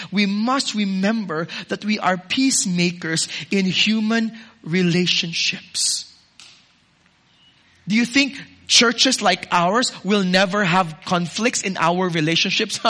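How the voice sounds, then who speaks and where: High, bright-voiced preaching pastor. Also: male voice, slow pace. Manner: preaching, swinging from loud exhortation to soft intimate asides. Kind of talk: sermon.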